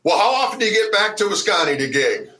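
A middle-aged man, a musician, chatting casually and telling stories with halting restarts. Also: high-pitched, big pitch swings, sharp tone, echoey room. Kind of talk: casual chat.

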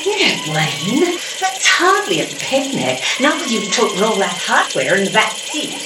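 A detective's practical sweetheart played by a woman in an amateur radio play. She is mid-pitched and lively in her intonation, matter-of-fact and teasing, recorded at a distance with echo and noise. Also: unhurried. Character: detective's game, practical gal, driving along. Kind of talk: amateur old-time radio play acting. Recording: echoey, distant, noisy with mechanical rattle.

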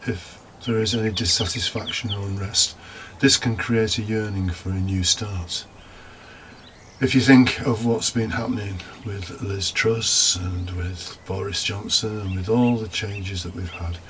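A man with a low voice delivers a reflective homily. He moves between prepared text and informal asides, often posing questions to his listeners. There is faint noise in the background. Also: steady tone, a little slow. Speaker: deacon preaching a homily. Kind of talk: homily, part scripted with asides.

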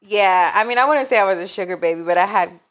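A woman's voice: mid-range voice, quick pace, a bit bright. A woman in her thirties chatting candidly as a podcast guest, laughing often and telling stories with energy. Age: 30s. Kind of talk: casual chat.

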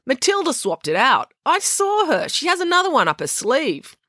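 A woman voicing a bold, sharp-witted new girl at school, matter-of-fact and wry. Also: high-pitched, huge pitch swings, normal speed, no echo, studio quality, voice pushed up, exaggerated voice. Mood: accusing.

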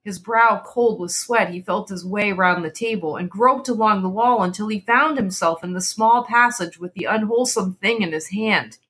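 A woman's voice: medium pitch, moderate pace, hardly any pauses, fairly bright tone, slightly rough. A woman narrating a literary horror story as a dramatic reading.

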